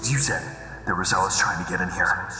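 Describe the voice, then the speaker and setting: A man's voice: deep voice, steady tone, fairly quick, close to an everyday voice. A man playing a blunt, defiant sergeant who talks urgently and questioningly in a sci-fi drama. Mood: defiant, worried. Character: gruff, defiant young soldier sergeant, bewildered and blunt. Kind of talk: dramatic sci-fi audio acting. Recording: cavernous long reverb, music behind.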